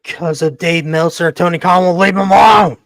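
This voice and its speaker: A man hosting a podcast, bantering in an excitable, joking way and swearing freely. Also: high voice, a little fast, gravelly, no room sound, clean studio sound. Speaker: podcast host. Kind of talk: casual profane podcast banter.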